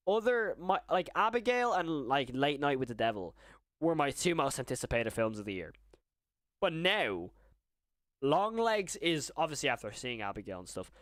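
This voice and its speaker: A young man talks on a live stream, eager and quick to restart his sentences around a slight stutter. His voice is smooth and mid-pitched. The sound is dry and close, with no room echo. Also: fairly bright tone. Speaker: horror YouTuber streaming live. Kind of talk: casual live-stream chat.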